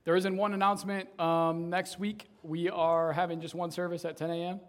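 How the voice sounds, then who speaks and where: A young adult man, a youth pastor, preaching a casual sermon to a church congregation, his voice slightly raspy from shouting, often ending sentences with "right?". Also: fairly high pitch, flat delivery, moderate pace. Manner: conversational, tags sentences with "right?". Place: church service, in front of a congregation.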